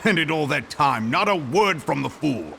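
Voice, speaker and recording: An actor playing a gruff older male ex-gladiator turned politician in a game cutscene, hearty and bluff in manner. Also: on the high side, expressive, normal speed, sharp tone, slight background noise, exaggerated voice. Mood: reminiscing. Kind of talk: video game cutscene voice acting.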